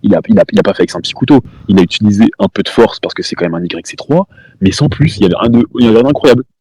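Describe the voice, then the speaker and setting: A man hosts a live stream from a home desk mic and argues hard with a caller, cutting in, repeating himself and throwing insults. His voice sits low, dark and husky, and the words tumble out quickly. The sound is close and dry. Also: no echo, poor audio. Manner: insulting, repetitive, talks over the caller. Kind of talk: heated live-stream argument.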